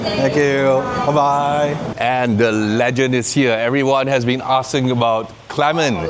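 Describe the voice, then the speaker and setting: A Singaporean man hosting a travel vlog, chatting upbeat to the camera at an outdoor night market. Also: medium pitch. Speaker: travel vlogger host. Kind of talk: travel vlog narration and chat. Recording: noisy outdoor street recording.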